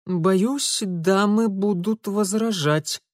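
A man as a haughty young prince, imperious, indignant, raised pitch, dry audiobook read. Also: very slow, dry recording. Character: haughty proud young nobleman, quick-tempered.

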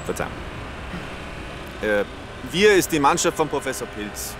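An actor, chatty and explanatory. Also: male voice, mid-range voice, sing-song, a little slow. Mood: jaunty. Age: young adult. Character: brisk, casual young male rocket engineer boss. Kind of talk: radio play dialogue. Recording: noisy, with car and machinery ambience.

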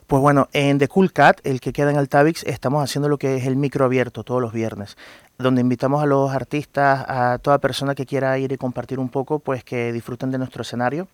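A man speaks as a guest in a radio interview. He explains earnestly, often pausing on fillers, and his voice sits at a middle pitch. The sound is dry and close. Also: flat delivery, no echo. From Venezuela. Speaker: guest entrepreneur.

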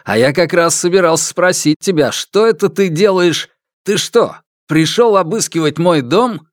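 A man narrator plays a shifty, defensive father of a household in an audiobook. He sounds indignant and evasive, with lively pitch in a dry, close recording. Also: normal speed, no room sound. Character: defensive, shifty, callous family man under accusation. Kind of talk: audiobook character acting.

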